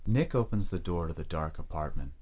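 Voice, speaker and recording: A man's voice: low voice, expressive, a little fast, a little mellow, breathy edge. A man reading scripted narration for an audio drama.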